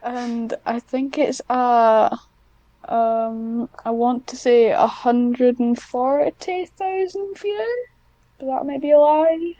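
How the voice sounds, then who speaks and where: A woman's voice: a little high, takes it slowly, warm tone, no echo, lo-fi sound. A woman chatting casually as an interview guest, in a hesitant, self-deprecating way. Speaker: guest, hobbyist audio script writer.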